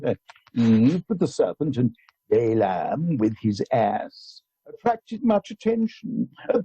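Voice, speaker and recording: A man acting an earnest, long-winded theology student in an amateur radio play, pedantic, halting and sermonizing over a muffled video call. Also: mid-range voice, dark tone, husky, dry recording, full character voice.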